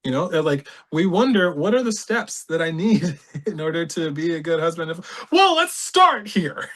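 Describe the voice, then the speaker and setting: A man from California, a podcast guest, talks through his own past in a lively way, laughing often and acting out other people's words. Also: on the high side, moderate pace. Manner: animated, laughs often, reenacts voices. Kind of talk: candid personal storytelling.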